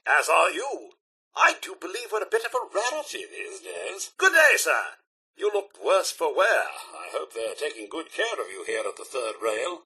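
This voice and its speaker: An actor playing a fussy, loyal robot butler in a video game, with a British accent and a prim, formal, theatrically courteous manner. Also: male voice, on the high side, sharp tone, slightly rough, full character voice. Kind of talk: video game character dialogue.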